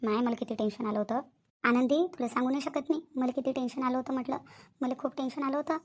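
A woman's voice: high-pitched, fairly even intonation, fast talker, a little hiss or hum, close to an everyday voice. A woman voicing anxious village women in a cartoon family drama, speaking colloquial Vidarbha Marathi in a fretful, emphatic, repetitive way. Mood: distressed.

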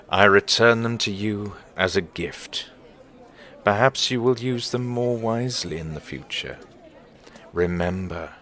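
A deep-voiced actor as a mysterious storyteller in an audio play. He speaks slowly and formally, with gentle, portentous wisdom. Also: exaggerated voice. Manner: measured, portentous, old-fashioned formal phrasing. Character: gentle, wise, mysterious male storyteller of Christmas tales. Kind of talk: audio drama acting.

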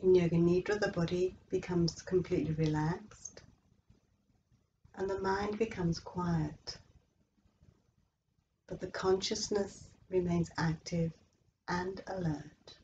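Female meditation guide, soft-edged dark timbre, slow and soothing, slightly muffled recording. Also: lowish voice, steady tone, slight background noise. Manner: slow, measured, soothing delivery with long pauses. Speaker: yoga nidra instructor.

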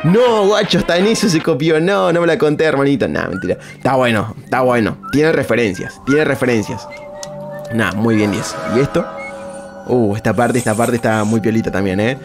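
A man's voice: mid-range voice, normal speed. A man with an Argentine accent giving casual live reaction commentary, exclaiming in slang and repeating his phrases. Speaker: streamer reacting to video. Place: indoor room at streaming desk. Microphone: close desk microphone on boom arm. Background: cartoon music.

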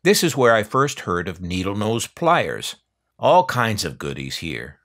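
An older man with a low-pitched voice tells stories in a casual, folksy way. His intonation rises and falls widely, and he leans on certain phrases with wry, drawn-out emphasis. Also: big pitch swings, a bit bright. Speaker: narrator, vintage electronics collector. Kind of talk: casual reminiscing narration over catalog pages.

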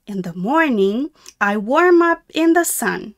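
Slow, friendly little storybook meerkat voiced by a woman. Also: on the high side, big pitch swings, exaggerated voice.

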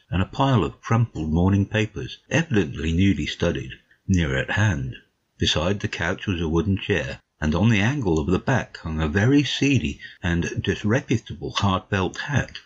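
A man playing a courteous gentleman narrator, telling a detective story in a measured, literary way, on a dull recording. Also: deep voice, slightly rough.

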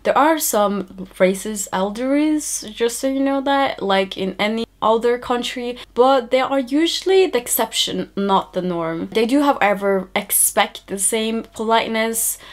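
Calm young woman vlogging to camera. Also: on the high side, measured pace, hardly any pauses. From born in Rwanda, raised in Norway. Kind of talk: scripted talking-head vlog. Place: bright minimal indoor room.

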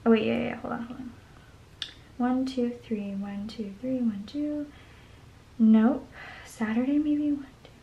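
A young woman with a voice going hoarse chats casually while she decorates her planner at a desk at home. She rambles and counts under her breath as she works. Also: on the high side, fairly even intonation, unhurried, long pauses, warm tone, highs cut off, slight background noise. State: losing her voice. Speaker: planner vlogger. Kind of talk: casual plan-with-me chat. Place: home desk, camera overhead.

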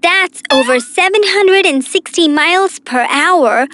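High-pitched cheerful cartoon girl. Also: expressive, a little slow, fairly bright tone, a little hiss or hum, exaggerated voice. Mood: bright. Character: cheerful young girl explorer, curious and kind. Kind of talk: children's cartoon dialogue.

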